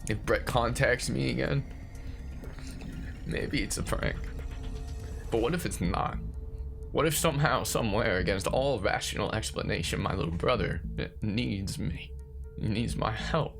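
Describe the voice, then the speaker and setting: A man's voice: on the low side, measured pace, gravelly, long pauses, over music. A man playing an anxious, grieving older brother recording confessional horror voice notes, jittery, stammering and questioning himself. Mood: hopeful, desperate. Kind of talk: found-footage horror audio monologue, confessional voice notes.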